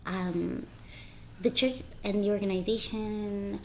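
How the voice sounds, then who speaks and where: Brief: woman guest host, warm approving chat, light background hiss. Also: mid-range voice, fairly even intonation, slow pace. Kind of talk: casual podcast chat.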